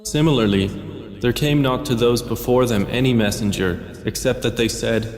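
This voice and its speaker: A man's voice: medium pitch, moderate pace, darkish tone. Solemn man reading a translation, echoey. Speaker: translation reader. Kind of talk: measured scripted reading. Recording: echoey, long hall reverb.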